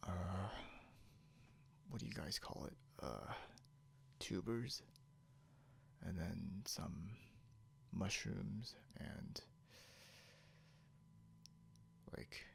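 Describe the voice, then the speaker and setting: Very gravelly, low, slow man acting a possessive captor. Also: heavily acted. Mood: hesitant, defensive. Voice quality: gravelly, often breaking into whisper. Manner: halting, muttering, condescending. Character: possessive non-human male captor, grudgingly caring, teasing. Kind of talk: ASMR roleplay, intimate second-person acting. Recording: noticeable background hiss or hum.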